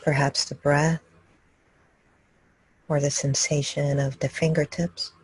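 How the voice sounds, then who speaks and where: A woman meditation teacher guiding a group gently and calmly, speaking in short, unhurried phrases with long pauses. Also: low-pitched, slightly rough, no echo, low-quality recording. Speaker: meditation teacher leading a live group session. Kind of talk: guided meditation, slow and gentle instruction.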